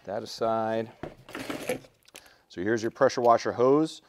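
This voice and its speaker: A man presenting a product unboxing in a relaxed, practical way, thinking aloud with fillers, recorded indoors in a large concrete-floored space. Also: on the low side, takes it slowly, noisy background. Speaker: tool store presenter. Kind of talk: casual product unboxing walkthrough.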